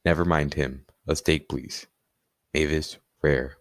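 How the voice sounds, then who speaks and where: A man playing a calm undercover police sergeant in a student radio play. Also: a bass voice, takes it slowly, no room sound, studio quality, exaggerated voice. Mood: calm, in charge.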